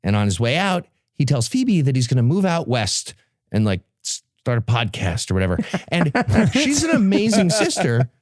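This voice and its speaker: A man's voice: a little high, a little mellow, no room sound, clean studio sound. A man hosting a comedy podcast, giving a casual, sarcastic and irreverent book recap. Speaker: podcast host presenting a book summary.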